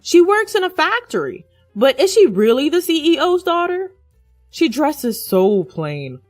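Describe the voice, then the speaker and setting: A woman's voice: high voice, sing-song, a little slow, dry recording, soft background music, voice pushed up. Woman voicing an arrogant, sneering drunk boyfriend. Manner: mocking, scornful. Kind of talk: dramatized audio story dialogue.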